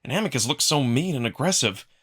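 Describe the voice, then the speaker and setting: A man giving an expressive, dramatic first-person narration of a visual novel, acting out the narrator's feelings. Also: mid-range voice, fast, slightly rough. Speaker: narrator, amateur voice performer.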